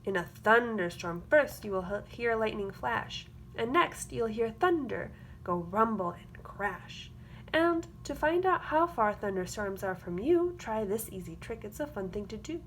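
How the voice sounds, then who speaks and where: Woman, fairly high voice, teacher reading picture book to children, rhythmic expressive phrasing, noticeable background noise. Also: measured pace. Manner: rhythmic, expressive delivery of rhyming verse with explanatory asides. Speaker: teacher reading aloud to a class.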